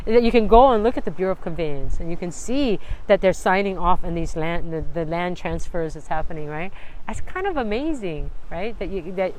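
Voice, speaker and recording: Lower-voiced woman, eager storyteller. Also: expressive, fairly quick, darkish tone, slight background noise, low-quality recording. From Hawaii. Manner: eager storyteller, keeps checking in with "right?". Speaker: college dean and history researcher. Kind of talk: casual interview chat.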